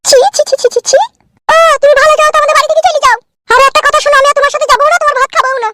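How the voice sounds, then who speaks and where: A man voicing an indignant young woman in a family cartoon, scolding through a pitched-up voice effect. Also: fairly even intonation, racing. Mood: outraged. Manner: scolding, outraged. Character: shrill, indignant young Bengali wife and her womenfolk.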